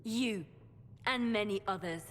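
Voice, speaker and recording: A woman's voice: mid-range voice, a little hiss or hum, close to an everyday voice. Actress as a composed, grave woman spymaster, echoing hall. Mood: quietly accusing. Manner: measured, grave. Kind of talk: dramatic game cutscene acting. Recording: long hall reverb, music underneath.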